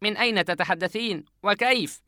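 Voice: medium pitch, brisk, pushed and bright, dry recording, clean studio sound, voice pushed up, lightly acted. A narrator voicing a frightened young man in a horror audiobook, asking anxious, stunned questions. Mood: shaken. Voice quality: shaken, anxious.

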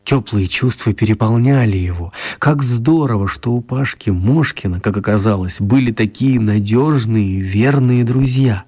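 Husky male storyteller for children's radio. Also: on the low side, normal speed, hardly any pauses, mellow. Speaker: narrator.

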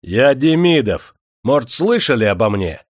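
A man voicing a proud, resentful self-made factory owner in a historical audiobook, stern and forceful. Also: fairly low pitch, lively intonation, measured pace, no echo, studio quality. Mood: angry.

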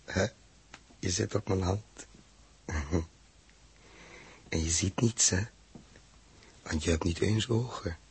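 Low, slow, pensive astronaut. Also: male voice, a little mellow, slightly rough, exaggerated voice. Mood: gently amused. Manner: musing, murmured soliloquy. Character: pensive, wary male astronaut on an alien planet. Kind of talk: 1960s radio drama acting. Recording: 1960s radio recording with music.

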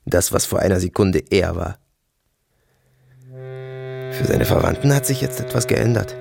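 Low-voiced brooding man. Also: expressive, a little slow, gravelly, music in the background, heavily acted. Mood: brooding, detached. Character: brooding, detached male narrator recounting violence and family. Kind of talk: audio drama monologue over music.

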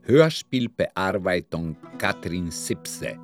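Slow, low-voiced male announcer. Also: lively intonation. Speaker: announcer and reader. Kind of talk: slow formal scripted reading. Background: plucked guitar music.

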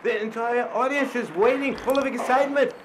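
Excitable high-pitched live opera-gala reporter. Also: male voice, fairly quick. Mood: excited suspense. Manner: theatrical, dramatic emphasis. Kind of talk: breathless live event commentary in English. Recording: loud crowd noise behind. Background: crowd.

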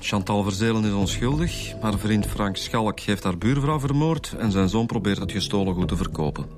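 Man as low-voiced, cocky Flemish detective. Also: animated, moderate pace, close to an everyday voice. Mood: sardonic. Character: cocky, sardonic male police detective. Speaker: actor. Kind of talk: radio police drama acting. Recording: dramatic music behind the voice.

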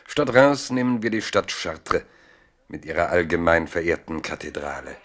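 A man narrating a literary radio play in German with measured, dryly ironic storytelling. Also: deep voice, animated, a bit bright. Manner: measured, dry storytelling with irony.

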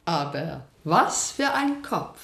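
Casting: dramatic, fretful lady of English society for radio drama. Very animated, plaintive delivery over music. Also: on the low side, slow pace, creaky, husky, dry recording, full character voice. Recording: prominent music behind the voice.

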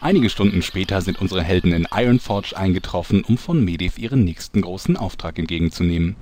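A brisk storyteller played by a man narrates a fantasy audio soap. His voice is on the low side and scripted, with faint noise in the background. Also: a little mellow, natural voice. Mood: matter-of-fact.

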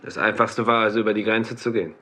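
A masked Mexican wrestler for a radio play. He talks in a fairly low voice, measured and laconic, keeping his guard up. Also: steady tone, pushed and bright. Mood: sober. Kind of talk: radio drama dialogue.

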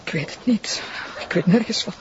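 A woman's voice: normal speed, close to an everyday voice. A woman with a Flemish accent playing an anxious, emotional pregnant wife of a wounded policeman in a crime drama. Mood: anxious, despairing. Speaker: actor. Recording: dull, noisy audio with clicks.

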